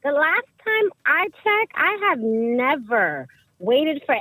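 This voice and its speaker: A woman's voice: high voice, very animated, slow pace, bright, forceful tone, dry recording, low-quality recording. A woman from Guyana with a Guyanese accent, calling in to give her opinion bluntly and emphatically. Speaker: caller. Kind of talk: call-in opinion.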